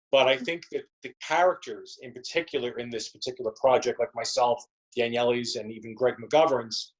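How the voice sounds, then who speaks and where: A man's voice: medium pitch, dull audio. A man with a mid-range voice talks as a guest in an interview. He rambles conversationally, circling back and repeating words as he explains his work as a performer.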